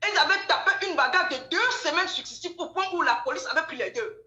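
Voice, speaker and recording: Woman, high bright voice, animated gossipy storytelling, repetitive emphasis, echoey room. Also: a little fast, lo-fi sound, faint music behind. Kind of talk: casual gossipy chat.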